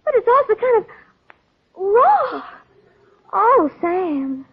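A tinny old radio recording carries a devoted, excitable young secretary. Her very high voice swoops up and down, fretful, gushing and naive in 1940s radio style. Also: takes it slowly, exaggerated voice. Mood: fretful, adoring.

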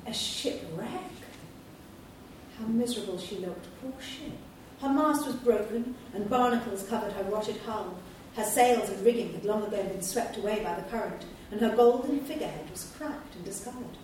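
A woman reading a children's storybook aloud at a live event, in a steady, clear storytelling manner. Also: medium pitch, brisk, few pauses, slight background noise. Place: large hall at a live event. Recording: echoey hall from far off.

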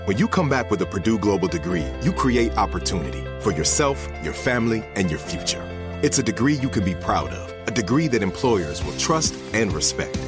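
A man's voice: on the low side, very animated, a little fast, music in the background. A man reading a scripted commercial in an earnest, motivational way. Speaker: ad announcer.

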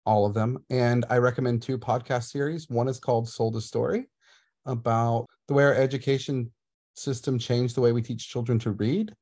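A man co-hosting a podcast with a mid-range voice and a cheerful, teasing way of talking. His delivery stays relaxed and conversational. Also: medium pitch, normal speed. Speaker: podcast co-host. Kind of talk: casual podcast chat.

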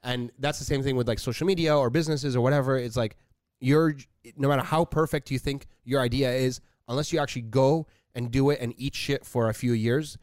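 A man co-hosting a casual podcast, agreeable and quick to chime in. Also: medium pitch, fairly even intonation, darkish tone, dry recording, studio quality. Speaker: podcast co-host.

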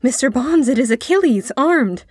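Storybook young boy, female reader: high, excitable, earnest, courteous, dry close sound. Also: normal speed, slightly rough, no room sound. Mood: excited, exclaiming. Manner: breathless exclaiming, earnest and deferential. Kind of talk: storybook character reading.

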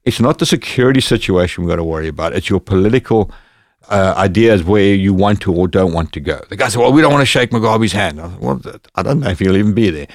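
Zimbabwean man telling animated anecdotes. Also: deep voice, quick pace, no room sound, studio quality. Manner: animated anecdotes, lively quoted dialogue. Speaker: podcast guest, former bodyguard. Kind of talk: casual storytelling.

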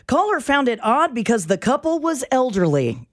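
A woman's voice: high-pitched, big pitch swings, moderate pace. A woman co-hosting radio, giving comic mock-official scanner reports with sassy banter. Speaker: radio co-host. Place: radio studio.

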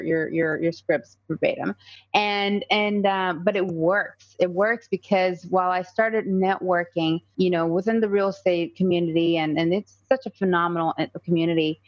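A low-voiced Colombian woman in her thirties, a native Spanish speaker who speaks English with an accent. She talks earnestly as a podcast guest, piling up fillers and restarts. The recording sounds dull and lo-fi. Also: normal speed. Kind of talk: casual podcast conversation.

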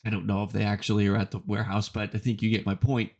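A man's voice: on the low side, completely flat delivery, a little mellow, muffled recording. A man hosting a sports podcast, giving opinionated commentary in an emphatic way and repeating phrases for effect. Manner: emphatic, deliberate repetition for effect.

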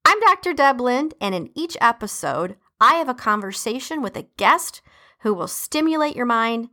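A woman's voice: on the high side, big pitch swings, normal speed. Chatty Detroit-accented woman podcast host. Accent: Detroit Midwest American. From Detroit, USA. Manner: warm, chatty, emphatic, with laughs and shout-outs. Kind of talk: casual solo podcast talk.

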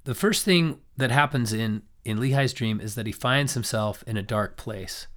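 A young man with a hoarse, raspy voice from a cold, teaching a recorded scripture lesson in a loose, thoughtful way with many restarts. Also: mid-range voice, normal speed, fairly bright tone.

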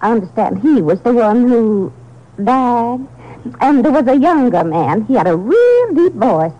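Fussy chatty older lady, lively pitch, dull old broadcast sound. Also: a little slow. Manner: chatty, prim, rambling. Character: fussy older woman hotel guest. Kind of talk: 1950s radio drama acting. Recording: muffled old radio broadcast.

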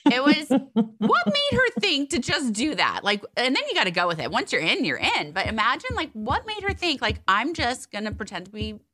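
A woman co-hosting a casual chat show, talking in an animated way and laughing often. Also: on the high side, fairly quick. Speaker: podcast co-host.

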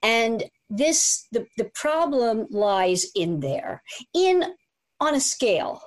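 A woman relationship coach and author, explaining her views as a guest in a measured way with fillers. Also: a little high, big pitch swings. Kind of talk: interview guest.

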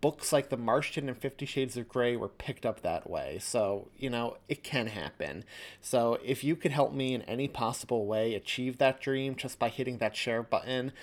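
A man hosting a podcast, addressing listeners in an earnest, appreciative way. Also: medium pitch. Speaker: podcast host and author.

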